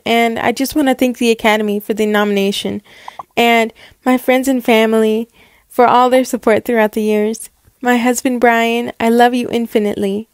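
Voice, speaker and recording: A woman voicing an earnest, modest young actress in a story roleplay, with a halting, gushing manner. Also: a little high, a little mellow, dry recording, clean studio sound, lightly acted.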